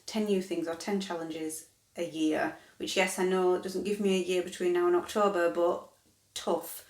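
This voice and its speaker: A woman in her thirties talks to camera in a loose, rambling way and keeps stopping to correct herself. Her voice sits fairly low for a woman. The sound carries a noticeable room echo. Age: 30s. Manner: rambling, chatty, self-correcting. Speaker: vlogger. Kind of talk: casual chat to camera. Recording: echoey room.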